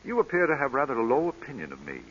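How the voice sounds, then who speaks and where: Suave English lord, lively and bright. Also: male voice, medium pitch, very fast. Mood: flirtatious, wry. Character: suave, flirtatious English lord, amused and insistent. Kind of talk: 1940s radio acting. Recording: muffled old radio broadcast, music behind.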